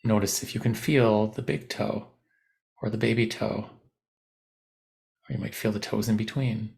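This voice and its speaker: A man guiding a body scan meditation in a gentle, unhurried, instructive way with long pauses. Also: fairly low pitch, steady tone, gravelly. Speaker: meditation teacher.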